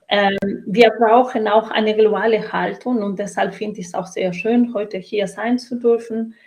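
A woman's voice: mid-range voice, darkish tone. A middle-aged woman with a Latin American accent, giving earnest, reflective answers as an interview guest. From Chile and Brazil. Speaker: interview guest, trainer and coach. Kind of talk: reflective interview answer about own life and work.

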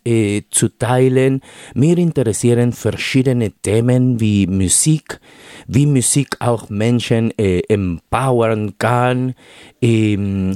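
A man's voice: mid-range voice, warm tone, no echo, clean studio sound. A man from Peru, a native Spanish speaker with a Peruvian accent, giving a radio interview as a guest and speaking hesitantly with many fillers.

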